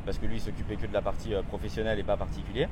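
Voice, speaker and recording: A man from Grenoble who advises on property investment, talking casually and telling stories animatedly. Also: fairly low pitch. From Grenoble, southeastern France. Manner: fast, animated storytelling. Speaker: real estate investment advisor. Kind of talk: casual conversation. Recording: street and car noise behind.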